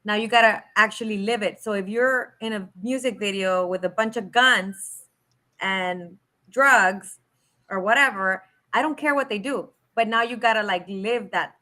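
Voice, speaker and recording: A woman's voice: medium pitch, normal speed, pushed and bright. A woman hosting a podcast, talking casually and emphatically, giving her opinion and dropping in Spanish words. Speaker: podcast host. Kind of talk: casual chat.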